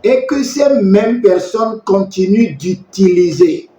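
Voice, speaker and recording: Slow high-voiced preacher, echoey hall. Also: male voice, expressive, mellow. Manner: slow, measured preaching with pauses for translation. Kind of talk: sermon with interpreter. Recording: echoey, muffled church recording.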